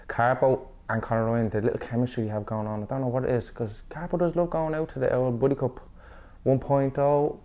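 A man's voice: medium pitch, steady tone, brisk, dark tone, highs cut off. Laid-back rambling man. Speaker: podcast host. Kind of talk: casual introduction.

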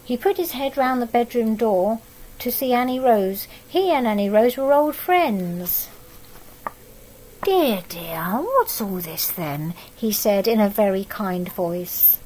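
A middle-aged woman reading a picture book aloud to young children, expressive and adding asides about the pictures. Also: a little high. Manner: expressive storytelling that pauses to comment on the pictures. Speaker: storybook reader for young children. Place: living room at home, indoors.

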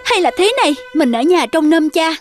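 A woman voicing a gentle, devoted young peasant wife in an animated folk tale, speaking with tender respect over music. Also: extremely high pitch, normal speed. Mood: sorrowful.

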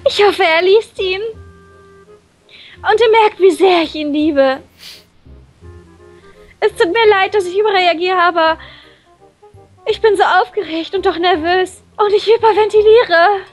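A woman voicing an excitable, lovestruck, nervous teenage schoolgirl in a visual novel. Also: very high-pitched, measured pace, long pauses, faint music behind, pitched above the performer's own voice. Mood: gushing, nervous.

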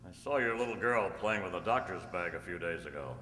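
A man playing a calm, upright masked cowboy hero with measured, formal delivery, in old-time radio style on stage. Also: sits low. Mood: persuasive. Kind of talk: old-time radio drama acting read from script. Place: stage in a community hall. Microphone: stand microphone. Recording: echoey hall, humming background noise.

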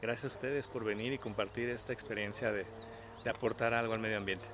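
A man's voice: lowish voice, steady tone, background noise. A man hosting casual interviews outdoors, upbeat and encouraging. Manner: upbeat, encouraging, quick affirmations. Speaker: host and interviewer. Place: outdoor park. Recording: crowd chatter behind.

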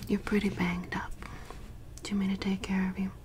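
A young woman in ASMR roleplay as a mysterious forest witch who tends a lost traveler, whispering softly close to the mic and repeating words soothingly in a small dim room. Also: medium pitch, level tone, fairly quick, background noise, natural voice. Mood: teasing. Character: mysterious, teasing forest witch woman, caring yet ominous. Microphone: close studio mic.

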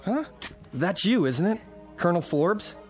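An actor playing a nervous, folksy bartender who stammers, in a radio drama. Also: male voice, high voice, sing-song, measured pace, faint music behind. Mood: friendly. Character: nervous male small-town bartender.

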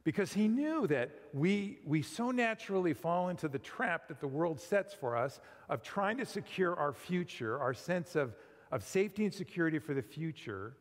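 A middle-aged male pastor preaches in a lively, talky way, his fairly high voice leaping up and down as he tosses rhetorical questions at the congregation. His words ring out through a big church sanctuary, trailing a long reverb. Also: moderate pace. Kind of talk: sermon. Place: large church sanctuary with pipe organ.

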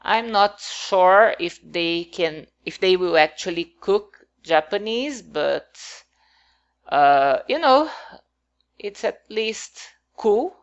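A woman's voice: medium pitch, expressive, slow pace, highs cut off. A woman whose first language is not English, talking casually over her game build in a hesitant, rambling way with many fillers.

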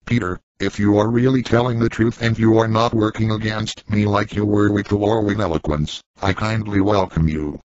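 A man's voice: fairly low pitch, steady tone, moderate pace, hardly any pauses, a little mellow, close to an everyday voice. A text-to-speech man's voice playing a formal, somewhat nervous male robot hero. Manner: stiff, formal machine reading. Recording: dry lo-fi synthesized audio.

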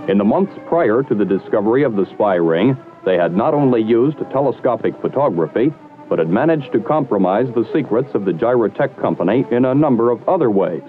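A man reading scripted narration for a 1960s training film in a formal, measured, slightly wry manner. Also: medium pitch, expressive, faint music behind. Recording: muffled old 1960s film soundtrack.

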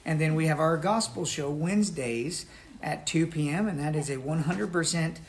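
A man in his thirties, a performer in a country music show, chatting casually in a relaxed, joking way. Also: a little high, normal speed, slight background noise. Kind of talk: casual chat. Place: hotel room, indoors.

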